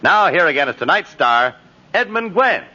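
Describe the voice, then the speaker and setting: A man's voice: fairly high pitch, sing-song, normal speed, heavy background noise. An American man doing polished, formal 1950s radio announcing. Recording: muffled vintage radio recording.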